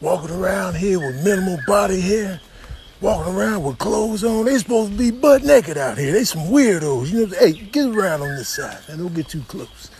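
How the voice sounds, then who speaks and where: A man's voice: high voice, lively intonation, moderate pace, crowd noise. A man voicing a suspicious, grumbling gorilla father, opinionated and slangy, in a comic animal voiceover. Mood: distrustful.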